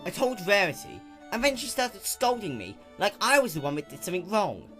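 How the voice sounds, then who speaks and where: A woman's voice: medium pitch, sing-song, brisk, soft background music, pitched above the performer's own voice, close to an everyday voice. A woman voicing a glum, worried young male dragon, hesitant and sullen, in a dramatic fan-fiction reading. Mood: defensive.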